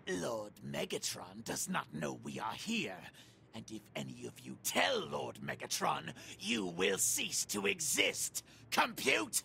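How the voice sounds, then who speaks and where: A tyrannical robot schemer from a game, heavily creaking and swooping wildly in pitch. He is haughty and threatening, stretching his words with slow disdain, over faint noise. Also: very animated, sharp tone, exaggerated voice. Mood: imperious, menacing. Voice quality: harsh, raspy. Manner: haughty, threatening, drawn-out delivery. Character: sneering, tyrannical robot warlord underling, male.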